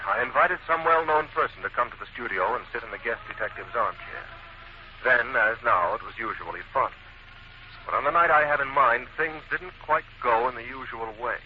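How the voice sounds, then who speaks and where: An actor playing a genial, composed gentleman detective who narrates cases in a 1940s radio drama. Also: medium pitch, expressive, fairly quick, lightly acted. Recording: dull, noisy old radio broadcast.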